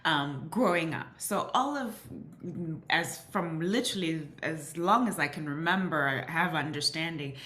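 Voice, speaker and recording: A woman's voice: low voice, a little slow, bright, forceful tone. A woman from Southern Africa, a guest telling her story in earnest with many restarts. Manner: earnest, with frequent restarts and "you know". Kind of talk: reflective interview storytelling. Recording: roomy echo.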